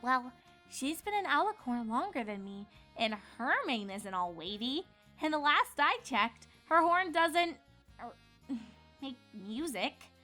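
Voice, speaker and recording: A woman's voice: sits high, very animated, takes it slowly, pushed and bright, faint music behind, full character voice. A woman voicing an eager, bookish young female pony student from a storybook, in an earnest manner. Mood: curious, hesitant.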